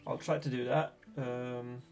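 A man in his thirties with a Swedish accent, a Swedish native speaker explaining fly tying in a relaxed, hesitant way from a small home workshop. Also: mid-range voice, measured pace, faint music behind. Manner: relaxed, hesitant, thinking aloud. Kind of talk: casual tutorial narration.

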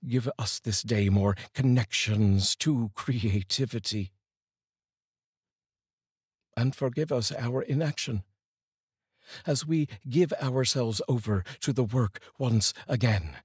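A middle-aged man with a deep, heavily husky voice. He speaks earnestly and deliberately, in the measured manner of someone reading poetry to his viewers. The sound is dry and close, from a studio microphone in a small room. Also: no echo. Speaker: host and voice-over reader. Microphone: large studio microphone, up close.

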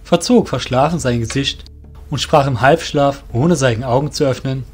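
A man narrates an audio drama from a script. His voice is medium in pitch, with soft music underneath. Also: normal speed.

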